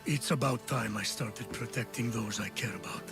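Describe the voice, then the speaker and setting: A man's voice: medium pitch, normal speed, vocal fry, close to an everyday voice. An actor playing a solemn, regretful and protective warrior father in a video game. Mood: regretful, resolved. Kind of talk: video game dramatic acting. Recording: prominent game music underneath. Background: background music.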